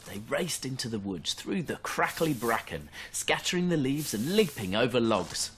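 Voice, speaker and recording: A man reading a bedtime story to young children in an expressive storytelling style. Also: mid-range voice, moderate pace, slight background noise.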